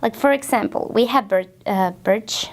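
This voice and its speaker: A young Finnish woman with a gravelly, creaky edge to her voice, answering interview questions as a visiting student teacher. She speaks in careful, unhurried English with a Finnish accent and keeps her replies short and plain. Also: fairly high pitch. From southern Finland. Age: young adult.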